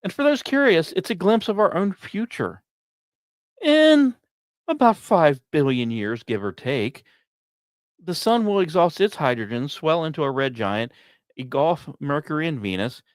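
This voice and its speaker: A man hosting a science podcast, reading scripted narration in a measured storytelling way with deliberate pauses. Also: a little high, animated, no room sound. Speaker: podcast host.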